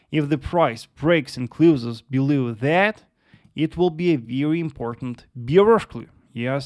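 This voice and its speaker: A man's voice: medium pitch, dry recording, studio quality. A young man from Russia with a Russian accent, hosting a live trading analysis stream and answering viewers' chat questions patiently, with frequent "uh" and "yes.". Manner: patient, repetitive, lots of uh and yes. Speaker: trading livestream host.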